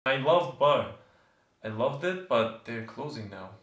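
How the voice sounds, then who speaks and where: A man's voice: medium pitch, expressive, a little slow, fairly bright tone, roomy sound, highs cut off. A man in his twenties from southern Turkey, with a Turkish accent, speaking reflectively in an interview and hesitantly searching for words. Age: 20s. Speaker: student, documentary subject.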